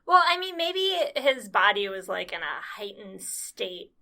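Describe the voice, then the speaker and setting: A woman's voice: medium pitch, big pitch swings, a little slow, bright, forceful tone. A woman guest on a podcast, a public health planner explaining her field in casual chat with dry humor.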